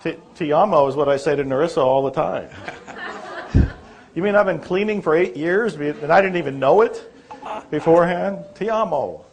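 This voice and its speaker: A man's voice: on the high side, moderate pace, a little mellow. A man presenting a seminar and answering audience questions in a friendly, conversational way in a meeting room. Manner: friendly, conversational, chuckles easily. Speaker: presenter and author.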